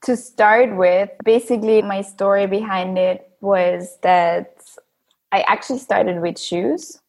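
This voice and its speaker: A woman's voice: medium pitch, takes it slowly, a little mellow. A young woman from Austria, a handbag designer, answering interview questions in a loose, chatty way with frequent fillers. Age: young adult.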